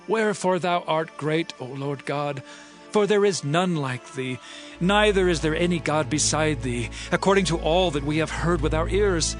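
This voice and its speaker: King David speaks in a somewhat high, earnest voice, as a man acts him out. His lines come as fervent, humble declarations to his God. Faint music plays behind him in this audio drama. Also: moderate pace, natural voice. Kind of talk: dramatized scripture reading.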